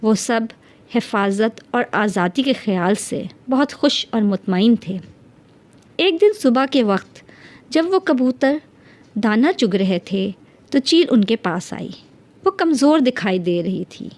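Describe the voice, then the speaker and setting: Female storyteller with a fairly high voice. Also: animated, slow pace. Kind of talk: scripted fairy-tale narration.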